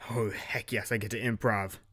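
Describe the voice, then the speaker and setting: A man's voice: lowish voice, little pitch movement, normal speed, fairly bright tone, husky. A man talking casually to himself as a voice actor between recording takes. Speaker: audio drama producer and voice actor.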